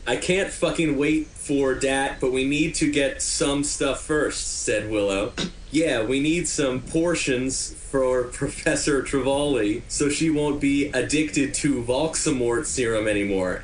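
A man's voice: medium pitch, takes it slowly, reverberant, slight background noise, poor audio. A man giving a comic dramatic reading of fan fiction, prone to cracking up. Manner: prone to breaking up laughing. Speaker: podcast host doing a dramatic reading.

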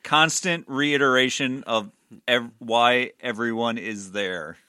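Slow, bright-voiced podcast guy. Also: mid-range voice, clear, resonant tone, no room sound, clean studio sound. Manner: unhurried, reads from his notes, short agreements. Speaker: podcast co-host. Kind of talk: casual movie review chat.

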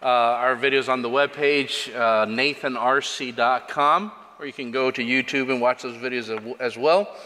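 Bright-voiced missionary man in a hall. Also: mid-range voice, fairly even intonation, normal speed, hardly any pauses. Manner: earnest, plainspoken, with restarts. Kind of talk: missionary report in a church service. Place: church sanctuary. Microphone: microphone over a church sound system. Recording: long church hall reverb, faint music.

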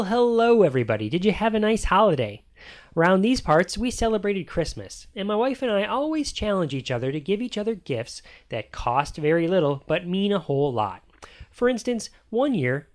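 An American man hosting a podcast, reading a scripted introduction in a playful, punning manner. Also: high-pitched. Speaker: podcast host.

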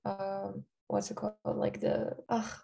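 A young woman from Kazakhstan whose first language is Kazakh, answering interview questions casually and often pausing on "like" while searching for words. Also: mid-range voice, completely flat delivery, creaky, mellow, slight background noise. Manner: chatty, many "like" fillers, searches for words. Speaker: interview guest.

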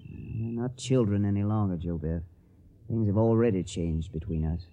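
A man's voice: lowish voice, moderate pace, warm tone, muffled recording, close to an everyday voice. A man playing an earnest, dutiful young farmer with a Southern accent in a radio drama. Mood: hesitant, earnest.

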